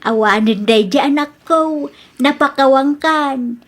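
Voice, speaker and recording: A woman's voice: high-pitched, slow pace. A woman acting a forgiving older mother in a radio drama, with an Ilocano accent. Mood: tender, forgiving.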